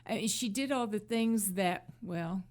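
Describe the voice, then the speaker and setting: A woman hosting a casual talk show, giving conversational commentary in measured, hesitant phrases. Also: mid-range voice.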